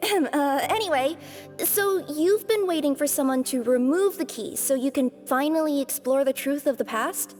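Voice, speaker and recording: A high actress's voice for a young woman adventurer, wry and matter-of-fact. It is game dialogue with music behind. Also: moderate pace, a little mellow, natural voice. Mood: wry, curious. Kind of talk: video game voice acting. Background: background music.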